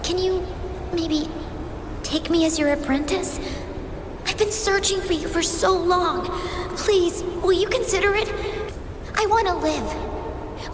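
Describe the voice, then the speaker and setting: A woman playing an earnest young orphan girl in a video game, hesitant yet determined, halting and pleading. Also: very high voice. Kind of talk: dramatic game voice acting. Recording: echoey hall reverb, noisy ambience.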